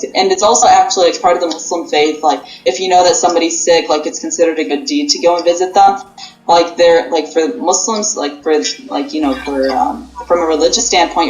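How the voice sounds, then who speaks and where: Low-voiced young woman, echoey room. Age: 20s. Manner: rambling, many fillers. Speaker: pharmacy student. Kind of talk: casual interview answers. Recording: roomy echo, faint music.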